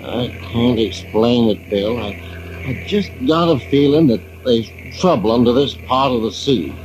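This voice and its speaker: An older man playing a brooding, gentle old seaman and grieving father, halting and repeating himself in old-time radio drama style. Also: medium pitch, animated, close to an everyday voice. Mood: uneasy. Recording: noisy old radio recording.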